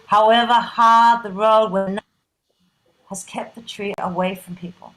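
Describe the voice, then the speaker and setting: A woman narrating a play in a dramatic scripted style, breaking it into short, deliberate phrases. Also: medium pitch, fairly even intonation, fairly bright tone, low-quality recording.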